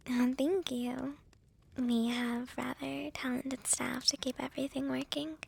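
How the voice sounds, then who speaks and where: Young female vampire with a crush, acted by a woman: higher-pitched, unhurried, whispery ASMR roleplay, soft, shy and playful. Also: level tone, heavily acted. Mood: soft, hesitant. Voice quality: breathy, whispery.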